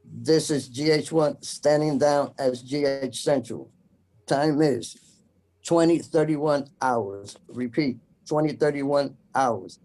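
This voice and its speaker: Man, medium pitch, slow pace, formal radio announcing, deliberate repeats, trainee controller drill. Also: darkish tone, poor audio. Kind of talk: scripted radio procedure drill with call signs.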